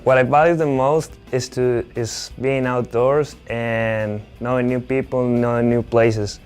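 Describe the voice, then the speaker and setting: A man from Colombia, a student, talking in a relaxed way with some fillers. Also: mid-range voice, a little slow, soft background music. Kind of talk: recorded personal statement.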